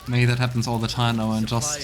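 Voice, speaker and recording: Mid-pitched man doing casual game commentary. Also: completely flat delivery, fairly quick. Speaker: let's play host.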